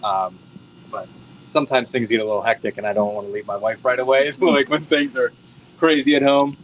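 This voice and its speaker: An American man, the band's drummer, speaking humbly and softly in a casual interview. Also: mid-range voice, steady tone, a little fast, a little hiss or hum, rough recording. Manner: humble and grateful, soft-spoken. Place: bowling alley venue.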